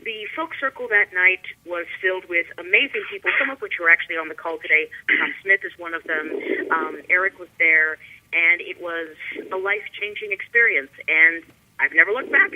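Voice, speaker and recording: A woman's voice: fairly low pitch, moderate pace, a little hiss or hum. A woman telling a casual personal story as a guest calling in over a phone line. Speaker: guest musician. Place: remote call into the show. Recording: muffled, tinny phone line.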